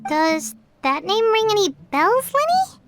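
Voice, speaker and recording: A woman voicing a sweet, gentle, playful little ghost girl in a video game, with music behind her. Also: very high-pitched, sing-song, a little slow, background noise, exaggerated voice.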